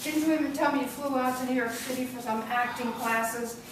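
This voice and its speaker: A woman acts out a domineering girlfriend who wants a winner, with a fairly high voice. She interrogates and needles sarcastically. The recording is very echoey and distant. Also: steady tone, a little fast, natural voice. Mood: badgering. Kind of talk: amateur script read-through. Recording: echoey room, far mic, noisy.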